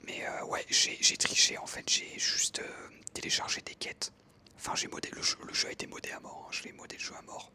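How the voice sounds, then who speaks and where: Young ASMR gaming narrator, close-miked breathy whisper, very sibilant and sharp, casual enthusiastic chat. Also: fairly quick. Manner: informal, enthusiastic gamer talk. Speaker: ASMR creator narrating own gameplay. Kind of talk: ASMR whispered casual storytelling over gameplay.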